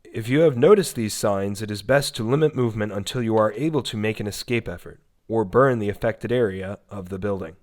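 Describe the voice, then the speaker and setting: A man's voice: lowish voice, brisk, darkish tone, close to an everyday voice. A man voicing an impersonal instructional warning tape in a horror story, formal and clinical.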